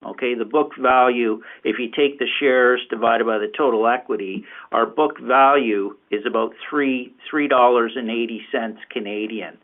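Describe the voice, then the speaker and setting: Phone-line male executive, halting explainer. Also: mid-range voice, steady tone. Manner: halting, repeats words as he explains. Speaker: company finance executive. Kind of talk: answering questions on an earnings call. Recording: phone-like, narrow conference call line.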